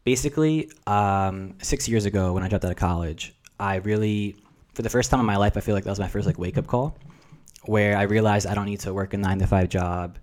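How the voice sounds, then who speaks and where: Reflective young man, low voice. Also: fairly quick, darkish tone. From New Jersey. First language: Russian. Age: 20s. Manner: reflective, rambling, filler-heavy. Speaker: podcast guest. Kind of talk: casual chat.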